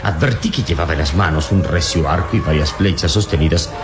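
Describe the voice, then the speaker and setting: An actor playing a calm, wise and fearless adventurer hero in a radio serial, speaking in a measured, reassuring, paternal way. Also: male voice, a bass voice. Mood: calm, explanatory. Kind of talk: Latin American radio serial acting. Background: dramatic background music.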